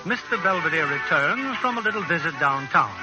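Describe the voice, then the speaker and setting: A man's voice: on the high side, animated, fairly quick, low-quality recording. A man hosting and narrating a radio drama in formal, theatrical 1940s broadcast style. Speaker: host and producer of radio drama show. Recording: old radio recording with music behind. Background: loud music.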